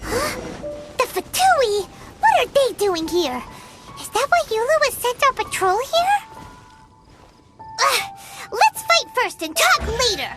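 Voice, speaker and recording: A woman voicing a tiny, chatty, excitable childlike fairy companion in a video game. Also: extremely high pitch, slow pace, heavily acted. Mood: alarmed. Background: game music.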